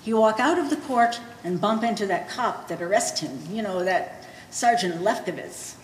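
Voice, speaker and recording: Woman acting an animated old Polish mother, echoey hall. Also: mid-range voice, normal speed, natural voice. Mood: scornful, animated. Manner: blunt, broken English with dropped articles. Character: old Polish immigrant mother, blunt, scornful, fond of her son. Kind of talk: one-act stage play performance. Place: gallery stage performance, indoors. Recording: echoey hall with faint noise.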